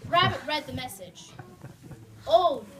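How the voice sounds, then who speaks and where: A woman narrating a children's puppet show, animated and speaking to a young audience. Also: sits high, unhurried. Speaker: storyteller. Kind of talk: children's story reading. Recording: distant mic, faint noise.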